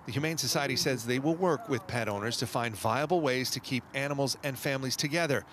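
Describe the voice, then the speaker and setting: A middle-aged man reporting live for the news outdoors, in a steady formal manner. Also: medium pitch, fast. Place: outdoors by a city building. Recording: outdoor street noise.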